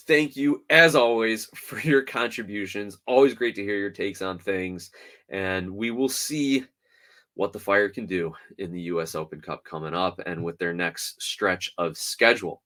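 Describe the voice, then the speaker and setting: A man hosting a soccer podcast solo, talking through results and rumors in an animated, opinionated way. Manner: animated, opinionated, swings from loud to low.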